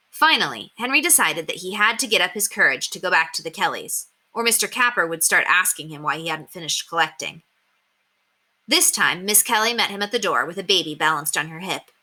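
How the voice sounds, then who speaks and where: A woman reading a children's story aloud, narrating expressively in a clear, animated way. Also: fairly low pitch, fairly quick. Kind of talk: expressive scripted narration with character voices.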